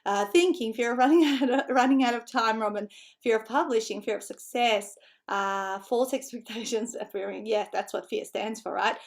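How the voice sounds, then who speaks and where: A woman's voice: fairly high pitch, normal speed. A middle-aged woman from North Macedonia with a Macedonian-tinged Australian accent, a native Macedonian speaker, energetically presenting an online seminar as a book-writing coach. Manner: energetic, chatty, frequent tag questions and laughs.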